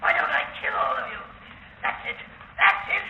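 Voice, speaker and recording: An actor playing a deranged, murderous villain who hides underground, lonely and gloating, in a 1930s radio drama. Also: male voice, very high-pitched, huge pitch swings, normal speed, vocal fry, bright, forceful tone, heavily acted. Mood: manic gloating. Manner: frenzied, stammering repetitions, gloating. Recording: echoey, muffled, hissy 1930s radio recording.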